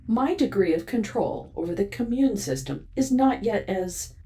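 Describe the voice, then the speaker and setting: A woman's voice: medium pitch, animated, moderate pace, warm tone, lightly acted. A woman playing a cool, ominous inhuman intelligence in an audio drama, speaking formally with detached precision. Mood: haughty, unbothered.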